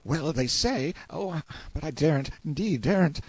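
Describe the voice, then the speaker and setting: A man's voice: fairly high pitch, huge pitch swings, normal speed, darkish tone, slightly rough, no room sound, pitched above the performer's own voice, exaggerated voice. A man voicing a timid, superstitious medieval page boy with archaic, pleading speech in an audiobook. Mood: fearful, hesitant. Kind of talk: audiobook character acting.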